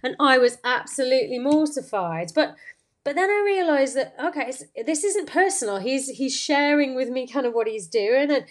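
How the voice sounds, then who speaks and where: Woman, slightly high voice, rambling, lots of fillers, motivational podcast monologue. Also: lively intonation, moderate pace. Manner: rambling, many fillers and restarts. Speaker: podcast host.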